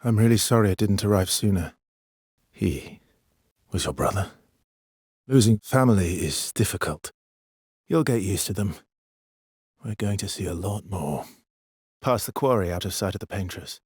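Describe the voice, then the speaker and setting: An actor playing a weary, gentle, guilt-ridden expedition guide in a dramatic video game, with a husky, breathy, hushed and halting delivery. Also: male voice, fairly low pitch, animated, no room sound, clean studio sound. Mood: gentle, somber. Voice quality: husky, breathy, often near a whisper.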